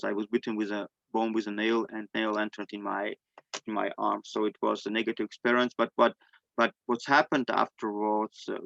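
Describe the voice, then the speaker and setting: A middle-aged man from Armenia, a native Armenian speaker, tells a personal story in halting non-native English, searching for words. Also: on the low side, gravelly, fairly bright tone, no echo, lo-fi sound. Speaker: guest sharing personal testimony. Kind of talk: personal storytelling in an online discussion.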